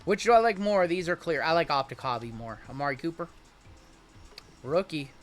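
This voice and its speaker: A man's voice: fairly high pitch, normal speed, soft background music. A man in his late thirties hosting a live card break, chatting casually with viewers while rattling off player names from each pack. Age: 30s.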